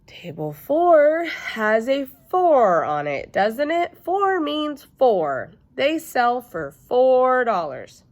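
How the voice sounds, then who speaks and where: A woman's voice: sits high, sing-song, extremely slow, hardly any pauses, voice pushed up, heavily acted. A woman voicing a perky, officious school-volunteer mother in a storybook, over-polite and emphatic. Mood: exasperated.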